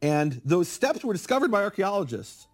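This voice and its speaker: A middle-aged man speaking as a guest, explaining biblical history in a lively, conversational way and acting out quotes. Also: sits high, normal speed. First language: English. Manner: animated, acts out quoted speakers. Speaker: guest scholar of biblical Hebrew. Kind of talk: conversational interview, lecturing.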